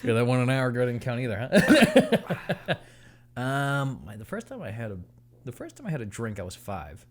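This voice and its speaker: A man's voice: mid-range voice, sing-song, moderate pace. A man chatting casually as a podcast guest, telling stories and laughing often. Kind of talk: casual chat. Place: podcast studio.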